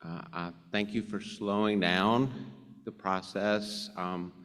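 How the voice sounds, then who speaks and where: A man, a parent and self-described slow speaker, politely giving public comment with many ums. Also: on the low side, steady tone, a bit bright, smooth. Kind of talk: public comment at a board meeting. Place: large meeting hall. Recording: long hall reverb.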